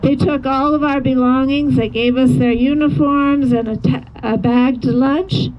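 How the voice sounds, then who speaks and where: A woman reading a first-person account aloud at a rally in a measured, solemn way. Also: sits high, fairly even intonation. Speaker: rally speaker giving a testimony. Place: outdoor rally near a road. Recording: dull lo-fi audio, faint vehicle noise.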